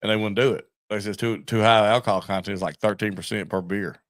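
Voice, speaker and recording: A man's voice: on the low side, steady tone, quick pace, dry recording, studio quality. A man co-hosting a podcast, chatting casually in an informal, folksy way. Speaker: podcast co-host. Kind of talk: casual chat.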